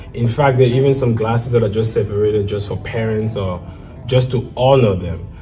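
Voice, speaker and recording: A man from Cameroon teaches from scripture with earnest conviction that builds into fervent emphasis. His voice is dark and mellow. He sits a little back from the mic, with soft guitar music underneath. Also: mid-range voice, far from the mic. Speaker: apostle, co-host.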